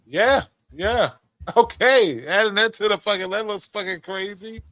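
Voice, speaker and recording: A man's voice: sits high, measured pace, pushed and bright, no room sound. A man co-hosting a casual podcast, swearing easily and repeating words as he reacts and gives opinions. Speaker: podcast co-host. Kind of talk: casual chat about video game movies.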